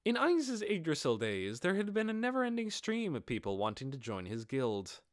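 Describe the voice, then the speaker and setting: A man reading a fantasy audiobook as narrator, in an expressive scripted storytelling style. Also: a little high, moderate pace, no echo, clean studio sound.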